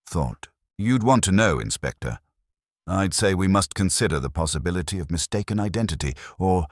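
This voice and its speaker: Pompous councillor voiced by a male narrator: deep, husky, swooping delivery, solemnly excited gossip for a radio mystery. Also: normal speed, no room sound, studio quality. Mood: self-important. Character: pompous, self-important male town councillor, eager gossip.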